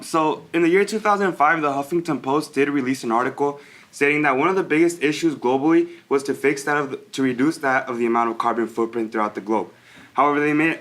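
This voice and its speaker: Give me a teenage boy giving a student presentation at a fast pace, mid-pitched. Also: fairly bright tone. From El Paso, Texas. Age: teens. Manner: brisk, reciting facts. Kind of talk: rehearsed presentation.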